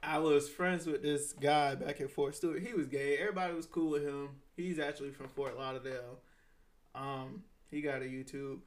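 A man hosting a podcast in casual, animated chat, swearing freely and laughing often. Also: fairly high pitch, normal speed. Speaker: podcast host.

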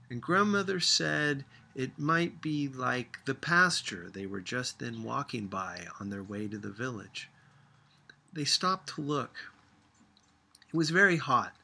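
Male reader for literary audiobook. Husky edge, bright tone, measured scripted narration. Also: medium pitch.

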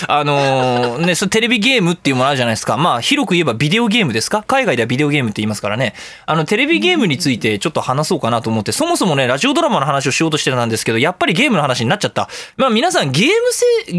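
A man in his thirties hosting a casual, comedic solo radio talk, rambling in an animated, jokey way with exclaimed asides. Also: a little high, no echo. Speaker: radio show host.

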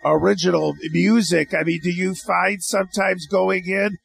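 A man hosting a radio interview, winding through his questions with false starts and trailing "I mean"s. His voice sits high for a man and has a heavy gravelly fry running through it. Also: fairly even intonation. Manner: halting, rambling questions with many restarts. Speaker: radio show host. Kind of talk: interviewing a guest.

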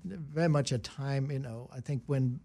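Mellow man with a Danish accent. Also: mid-range voice, moderate pace. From small rural town in Denmark. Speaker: interview guest, business advisor. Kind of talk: casual reflective conversation.

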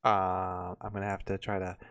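A man's voice: sits low, completely flat delivery, moderate pace, a bit bright, dull audio. A man in his twenties hosting a casual livestream with informal, teasing banter. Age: 20s. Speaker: show host.

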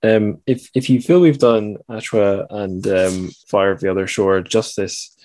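A man with a low voice hosts a casual interview podcast. He is playful and halting, often repeating a word as he gets going. The sound is close and dry, with hardly any room. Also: steady tone, warm tone, no room sound. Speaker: podcast host.

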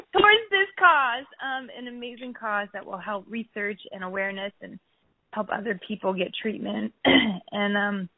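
A woman guest on a podcast, chatting casually and laughing often, her voice a bit hoarse as she keeps clearing her throat. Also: mid-range voice, measured pace, no room sound. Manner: giggly, says she is losing her voice. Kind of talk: casual interview chat. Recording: dry phone-like line.

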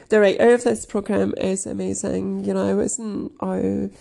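A woman talks in a slow, rambling way, her voice a little sniffly after crying. Her tone is flat and mellow with a gravelly edge. She stops often to clear her throat and fills gaps with ums and likes. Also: medium pitch. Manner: halting, many fillers, keeps clearing throat. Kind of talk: casual rambling chat.

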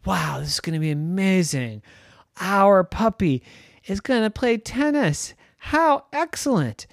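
A storyteller voicing an excitable family of dogs, high and eager but sheepish and apologetic. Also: a little slow, creaky, no room sound, clean studio sound. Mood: excited.

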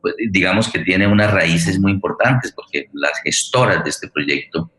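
A man with a low voice hosts a forum, recorded with a clearly roomy echo. He builds long questions deliberately, halting often to find the next word. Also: a bit bright. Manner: deliberate, halting, searching for words. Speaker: forum host, interviewer. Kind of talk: interview moderation, long spoken questions. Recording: roomy, echoing sound.

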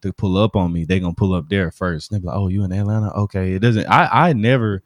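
Deep-voiced man with a Southern Alabama accent. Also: fast talker, dry recording, studio quality. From Alabama, United States. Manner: slangy, emphatic, repeatedly checks listener follows. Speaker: DJ with a studio, podcast speaker. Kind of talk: casual conversational discussion.

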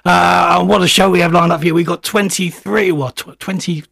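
A male radio host with a gravelly, slightly husky voice from a chest infection, chatting loosely with plenty of fillers. His pitch sits a little high for a man, and the sound is dry and close. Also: steady tone, normal speed, fairly bright tone, no room sound. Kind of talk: radio show presenting.

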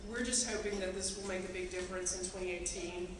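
A woman's voice: lowish voice, steady tone, quick pace. A woman, giving a chatty, earnest talk to a room of educators with many ums and you-knows. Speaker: speaker at a teachers' association meeting. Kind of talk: casual talk to an audience. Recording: roomy, echoey hall from far off with ambient noise.

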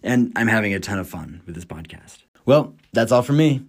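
A man's voice: lowish voice, animated, fairly quick, breathy edge. A young man in his twenties, an American podcast host, reading his own script with an upbeat, enthusiastic manner. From United States. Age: 20s.

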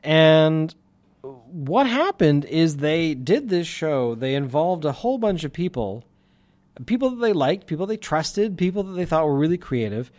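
A man's voice: on the high side, big pitch swings, measured pace, darkish tone, dry recording. A man hosting his own podcast with a light lisp, talking in a rambling, casual way with many fillers and restarts. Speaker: podcast host. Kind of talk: casual solo podcast monologue.

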